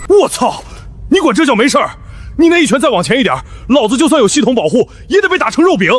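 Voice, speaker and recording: An actor playing a brash, loyal, foul-mouthed fighter with a coarse, blustering, blunt manner in an animated web drama. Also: male voice, extremely high pitch, fast, no echo, exaggerated voice. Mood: shocked, indignant. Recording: music behind the voice.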